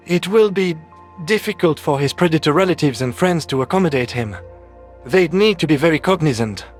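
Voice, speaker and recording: A man voicing a calm, candid, friendly male alien doctor in a science fiction audio story. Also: fairly high pitch, brisk, soft background music, close to an everyday voice. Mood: gently firm.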